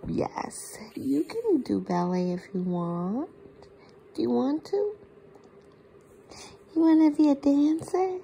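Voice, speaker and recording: A young woman, a mother, narrating a casual family vlog at home into a close-held phone, softly cooing to her baby. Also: high-pitched, sing-song, slow, creaky, pauses often, slight background noise, rough recording. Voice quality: soft, cooing baby talk. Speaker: vlogger mother. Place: home living room. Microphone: phone camera held close.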